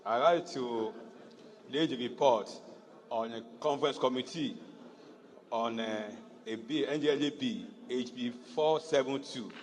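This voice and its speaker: A man's voice: medium pitch, unhurried. A middle-aged Nigerian man, a native Yoruba speaker, giving a floor speech as a legislator. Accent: Nigerian Yoruba-region English. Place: large indoor legislative chamber. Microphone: desk gooseneck microphone. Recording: echoey noisy chamber, long reverb.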